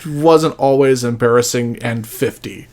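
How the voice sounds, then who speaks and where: A man's voice: mid-range voice, measured pace, very noisy. A man appearing as a podcast guest, chatting casually. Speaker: podcast guest, wrestling writer.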